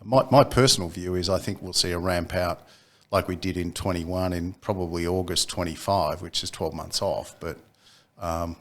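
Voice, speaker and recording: A man, a company CEO talking as a podcast guest in a measured way, often pausing with ums and repeating words. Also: low voice, a bit bright. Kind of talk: casual business chat.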